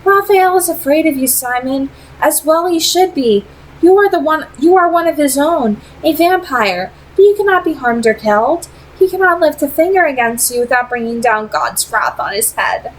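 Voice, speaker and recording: A woman's voice: sits high, lively intonation, pitched above the performer's own voice, poor audio. A woman voicing a proud, secretive female vampire who leads a clan and speaks formally and persuasively in a read-aloud story. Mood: earnest, urgent. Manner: formal, deliberate, dramatic phrasing.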